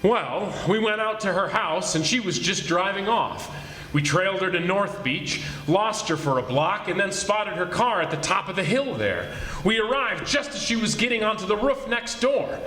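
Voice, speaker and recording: A man's voice: high voice, animated, normal speed, sharp tone. A man playing a sardonic, commanding police detective in a 1940s-style radio drama, theatrical and teasing. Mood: matter-of-fact. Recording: echoey live stage performance.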